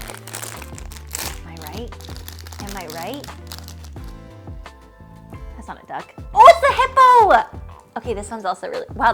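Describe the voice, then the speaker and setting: A woman's voice: fairly high pitch, fairly bright tone. A 29-year-old woman, a fitness creator, talking casually and at length, peppering her speech with fillers and gushing over cute toys. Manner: chatty and rambling, full of "like" and "okay?"; gushing, squealy delight over little toys. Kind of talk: casual vlog Q&A and unboxing.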